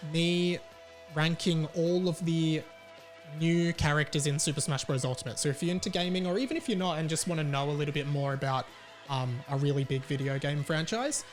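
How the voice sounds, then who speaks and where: An Australian man hosting a podcast, talking casually on his own in a rambling, opinionated, sweary way. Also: fairly high pitch. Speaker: podcast host. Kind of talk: casual solo podcast chat.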